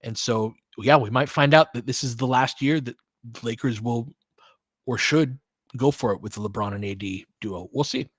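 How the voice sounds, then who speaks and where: A man hosts a casual live basketball commentary in a mid-pitched voice. He moves between clipped play calls and conversational fan analysis, thinking aloud in broken phrases. The sound is dry, with very little room to it. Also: normal speed, slightly rough, no echo.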